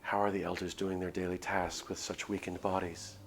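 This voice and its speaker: A man's voice: low voice, flat delivery, brisk, gravelly, a little hiss or hum. A man reading a visual novel aloud on a live stream, moving between dramatic narration and casual asides.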